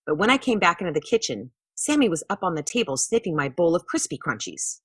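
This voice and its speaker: Woman acting an animated young boy, Kenny. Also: fairly low pitch, a little fast, breathy edge, no room sound, studio quality, heavily acted. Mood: earnest, puzzled. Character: Kenny, young boy, bored then earnest storyteller.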